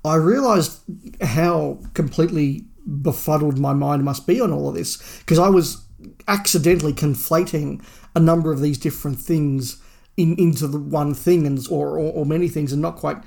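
A man's voice: fairly high pitch, normal speed, creaky, a little mellow. A man co-hosting a podcast in a casual fan discussion, rambling and thinking aloud. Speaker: podcast co-host.

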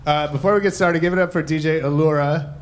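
A man's voice: fairly high pitch, steady tone, fast, background noise. A man hosting a live podcast at a hotel event, casual and joking, with lots of ums and uhs. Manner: casual, filler-heavy, joking. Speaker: podcast host.

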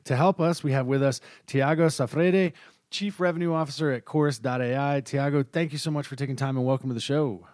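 A male podcast host with a mid-pitched voice, talking casually in an interview. He is chatty and tends to double back on his sentences. The sound is dry, with very little room in it. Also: steady tone, brisk, a little mellow, dry recording. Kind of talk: conversational interview hosting.

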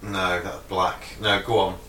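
Bright-toned man, off mic in an echoey room. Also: sits low, level tone, unhurried, far from the mic. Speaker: podcast co-host. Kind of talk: casual chat.

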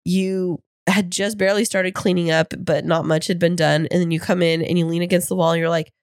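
A woman co-hosting a podcast in casual chat, her voice hoarse and froggy from being sick, telling stories in a teasing, animated way. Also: on the low side, a little fast, no echo, clean studio sound. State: hoarse from illness. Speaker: podcast co-host. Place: home studio.

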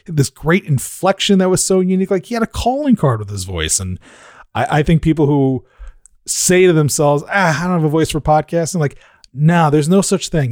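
A man with a deep voice chatting casually on a podcast, reflective and self-deprecating. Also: a little mellow, dry recording, clean studio sound. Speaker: podcast host.

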